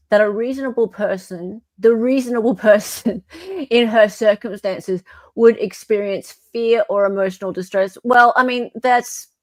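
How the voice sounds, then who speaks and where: Woman co-host reading stuff out w wry comments, normal pitch, dry close sound. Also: no echo. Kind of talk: informal read-aloud with wry commentary.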